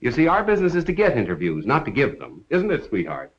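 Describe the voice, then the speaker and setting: An actor playing a suave, teasing amateur detective in an old 1930s film. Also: male voice, medium pitch, huge pitch swings, fast. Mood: glib, bluffing. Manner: glib, teasing. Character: suave, quick-witted male amateur detective, breezily bluffing. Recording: muffled 1930s film soundtrack.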